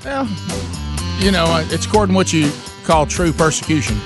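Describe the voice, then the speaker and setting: A man's voice: a little high, expressive, fairly quick, over music. A man hosting a call-in radio show, talking conversationally and circling back to rephrase as he explains his point. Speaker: radio talk show host.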